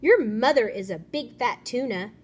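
A woman voicing a taunting teaser in a children's storybook with loud, drawn-out jeers. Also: on the high side, expressive, slightly rough. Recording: noisy room ambience.

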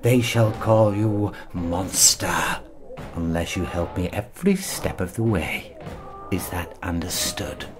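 A man's voice: low-pitched, expressive, takes it slowly, noisy background. An actor playing a sarcastic, condescending, self-serving traveller with menace in his audio drama performance. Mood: menacing.

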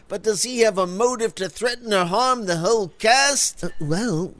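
An actor playing a proper, earnest sidekick of a private eye, formal and polite, in a comedy audio drama. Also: male voice, very high voice, fairly bright tone.

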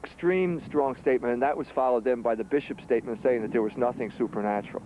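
A man's voice: mid-range voice, quick pace. A man, a journalist, speaking casually in an interview, with restarts as he talks, recorded outdoors in a town. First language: English. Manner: conversational, with restarts. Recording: phone-like band, loud street noise.

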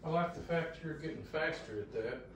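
A man's voice: on the high side, normal speed. Older man teasing in an echoey kitchen. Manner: dry teasing humor. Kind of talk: casual chat. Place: home kitchen, indoors. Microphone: far camera. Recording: echoey kitchen, camera far off, background noise.

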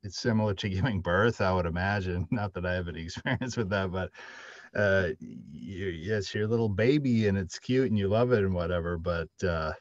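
A man's voice: low-pitched, normal speed, dry recording. A middle-aged man chatting casually as a panelist in a discussion. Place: indoor room with drop ceiling, on a video call. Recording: dull lo-fi video call audio.

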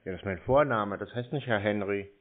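A man from Germany baiting callers in a prank phone conversation, deadpan, curt and playing obtuse. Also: fairly low pitch, fairly quick, phone-quality sound. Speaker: prank call recipient baiting scammers.

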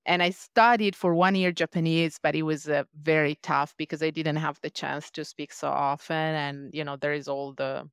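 A woman's voice: low-pitched, steady tone, normal speed, hardly any pauses, smooth, dry recording, clean studio sound. A woman with an Italian accent, a leadership coach, speaking thoughtfully as a guest in a podcast interview. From Italy. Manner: thoughtful, reflective, earnest.

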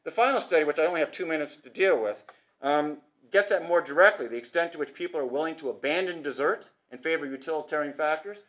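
A middle-aged man giving an academic conference talk at a podium, brisk and gesturing, posing rhetorical questions. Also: fairly high pitch, fairly bright tone. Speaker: conference speaker. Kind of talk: academic presentation. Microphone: podium microphone.

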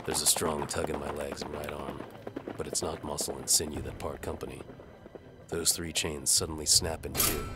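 A man narrating a first-person noir-style audio drama in a wry, deadpan manner. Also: a bass voice.

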